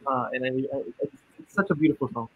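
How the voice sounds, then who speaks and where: A man's voice: mid-range voice, fast, narrow, tinny audio. A man in his twenties with a Filipino accent, a guest singer chatting easily on the radio and slipping between English and Tagalog. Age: 20s. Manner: easygoing, mixes English with Tagalog, many fillers. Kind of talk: casual radio interview.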